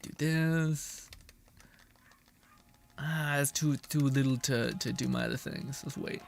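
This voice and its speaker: A man's voice: medium pitch, steady tone, slow pace, vocal fry. A young man streaming a game, chatting casually with his viewers and reading their messages aloud, laughing and swearing often.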